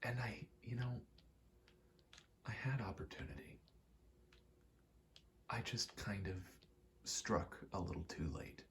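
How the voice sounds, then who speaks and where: A young man giving a soft-spoken, halting, reflective ASMR ramble, telling personal stories in a hushed voice close to the mic. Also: on the low side, creaky. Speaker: ASMR creator.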